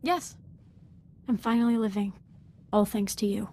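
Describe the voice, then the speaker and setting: Casting: actress as young female dark-side apprentice for a game, deferential yet fervent, articulate delivery, mid-range voice. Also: mid-range voice, steady tone, unhurried, slight background noise, close to an everyday voice. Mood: bitter, intense. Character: young female Sith apprentice, devoted, fervent, darkly eager. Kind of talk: dramatic video game voice acting.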